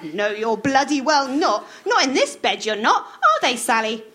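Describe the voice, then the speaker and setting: A shrill, bossy woman who scolds everyone around her, her voice leaping high and loud with indignation, as a woman reads her part. She snaps out orders and swings wildly in pitch. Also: very animated, normal speed. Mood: indignant. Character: bossy shrill middle-aged woman Maud.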